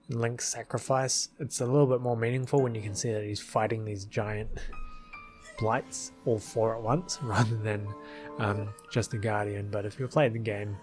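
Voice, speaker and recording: A man's voice: medium pitch, darkish tone. Casual man rambling through commentary. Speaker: video creator explaining his fan film edit.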